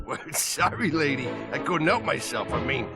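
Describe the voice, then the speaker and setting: A man's voice: a little high, very animated, normal speed, over music. A man playing a sleazy, flirtatious space taxi dispatcher in a video game, casual and smarmy. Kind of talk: animated video game cutscene acting.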